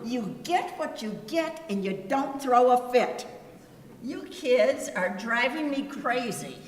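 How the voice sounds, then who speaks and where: A woman's voice: on the high side, sing-song, slow pace, crowd noise. A woman reciting motherly sayings theatrically as part of a readers theater group, with an emphatic, scolding delivery. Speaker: readers theater performer at church. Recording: echoey church hall, background noise.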